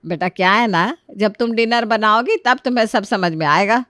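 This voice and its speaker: A bossy older mother-in-law, acted by a woman in a domestic audio story. She instructs and demands as the matriarch of the household, in a mid-pitched voice with a dry sound. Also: moderate pace, fairly bright tone, no room sound, close to an everyday voice. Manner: commanding, instructing. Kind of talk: acted Hindi family story dialogue.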